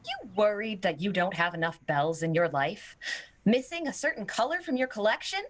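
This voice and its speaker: A woman's voice: medium pitch, lively intonation, moderate pace. A woman announcer delivering a playful scripted radio commercial in the manner of a sponsor spot. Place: indoors, recorded over a video call.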